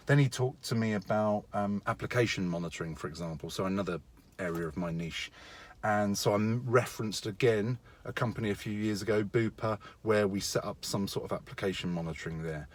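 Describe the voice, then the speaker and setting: Low-voiced middle-aged man giving casual advice. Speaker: IT contractor giving career advice.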